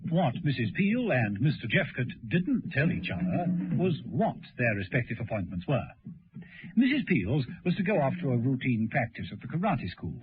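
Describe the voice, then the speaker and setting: Male narrator, lively intonation, scripted radio drama storytelling, tinny old recording. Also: medium pitch.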